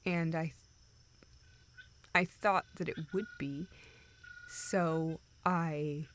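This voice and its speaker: A woman playing a dejected, self-doubting young woman who confides haltingly in a friend, in an intimate, naturalistic audio roleplay. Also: on the low side. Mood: downcast, halting. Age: young adult. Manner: halting, hesitant, self-deprecating.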